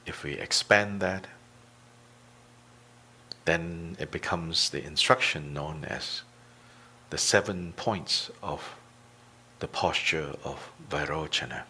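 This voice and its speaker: A man teaching a guided meditation, speaking deliberately in short phrases with long pauses between them. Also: very deep voice, bright, forceful tone, a little hiss or hum.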